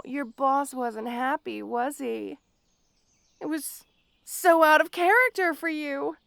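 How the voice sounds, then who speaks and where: A woman playing a petty, obsessed female supervillain in an audio roleplay, theatrical and taunting, with mock-sweet, drawn-out words. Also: high voice, creaky, no room sound, heavily acted. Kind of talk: scripted audio roleplay addressed to the listener.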